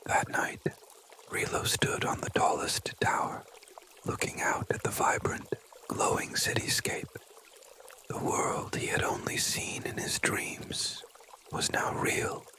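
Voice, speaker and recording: Storyteller for kids' ASMR tale: all whisper, airy and crisp, gentle bedtime delivery. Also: takes it slowly. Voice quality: breathy, unvoiced whisper.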